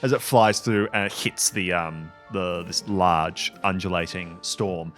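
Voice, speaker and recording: A man with a lowish voice runs a tabletop game. He narrates casually and improvises as he goes. Music is clearly heard behind him. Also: measured pace, a bit bright. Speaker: game master.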